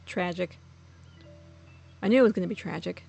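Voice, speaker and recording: A woman's voice: fairly low pitch, normal speed, vocal fry, darkish tone, slightly rough, faint music behind. A woman streaming a let's play, commenting casually on the game.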